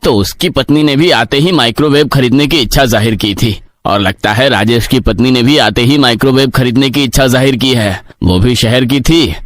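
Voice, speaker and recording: A man's voice: medium pitch, moderate pace, hardly any pauses, close to an everyday voice. A man playing a lecherous, sly older village headman in a horror cartoon, talking in an insinuating way. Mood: sly curiosity. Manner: insinuating, chuckling.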